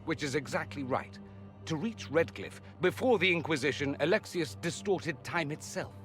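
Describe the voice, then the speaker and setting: An actor playing a witty, self-assured foreign mage in a video game, with an arch, flamboyant manner. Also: male voice, fairly high pitch, animated, normal speed, a bit bright, soft background music. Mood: arch, explaining. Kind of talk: video game dialogue acting.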